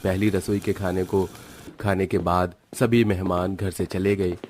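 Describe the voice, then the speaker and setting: Guy with a low voice reading out a story, some noise and clicks behind. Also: flat delivery, unhurried, darkish tone. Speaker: narrator. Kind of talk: scripted storybook narration.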